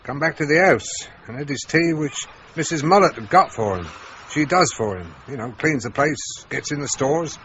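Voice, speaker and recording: An actor playing a plain-spoken, folksy village police sergeant in an old radio play. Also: male voice, a little high, animated, normal speed, fairly bright tone. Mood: wary. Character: chatty male country police sergeant. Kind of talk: 1950s-style radio drama acting. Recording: dull vintage radio recording, faint hiss.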